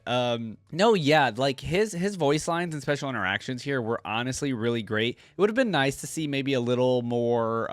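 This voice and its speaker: A man's voice: medium pitch, smooth, dry recording. A man giving casual, chatty, appreciative reaction commentary as a gaming fan. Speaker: reaction video host, game fan.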